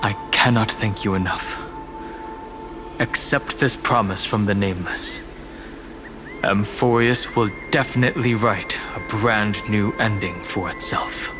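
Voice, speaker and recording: Game role: actor as thoughtful, resolute young man, lowish voice, unhurried and grave. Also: close to an everyday voice. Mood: resolute, grateful. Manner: measured, earnest, grave. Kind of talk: video game voice acting. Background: music.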